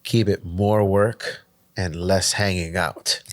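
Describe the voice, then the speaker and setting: A man with a very deep voice drops short, wry remarks into a casual podcast chat. The sound is dry and close to the mic. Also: fairly even intonation, takes it slowly, no echo. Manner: brief interjections, wry jokes. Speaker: podcast regular.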